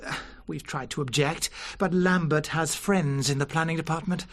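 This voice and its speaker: A man's voice: fairly high pitch, fairly even intonation, moderate pace, lightly acted. An actor playing a timid, ineffectual English country clergyman in a radio drama, with a British accent and a hesitant, flustered, apologetic way of talking. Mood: mild, resigned.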